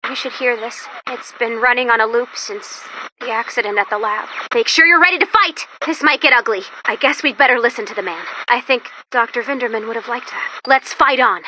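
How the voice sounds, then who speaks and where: Woman as a very high, perky robot guide. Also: brisk, background noise, natural voice. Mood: upbeat, focused. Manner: chipper, quirky, swinging between eager and sheepish. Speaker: mission guide addressing the player as Commander. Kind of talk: video game character voice-over.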